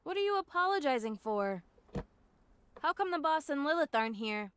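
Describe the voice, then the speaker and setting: A woman's voice: high-pitched, big pitch swings, moderate pace, a bit bright, dry recording. A woman playing a brash, easygoing young woman fighter in a video game cutscene, with casual, wry, slangy delivery. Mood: confused, wry. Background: music.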